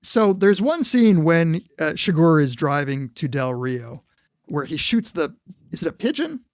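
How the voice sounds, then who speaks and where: A man co-hosting a podcast, chatting casually about a film, animated and quick to laugh. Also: fairly high pitch, no room sound, studio quality. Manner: animated, laughs often, recounts scenes. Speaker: podcast co-host.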